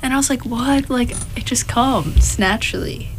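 A woman's voice: fairly high pitch, lively intonation, moderate pace, slight background noise. A young woman with a Filipino accent chatting casually with friends, bubbly and teasing. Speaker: podcast co-host.

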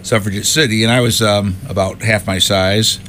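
A man's voice: fairly low pitch, fairly even intonation, moderate pace, sharp tone, a little hiss or hum. A man in his sixties from the United States, a musician being interviewed, chatting casually in a wry, rambling way. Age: 60s. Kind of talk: casual chat, reminiscing.

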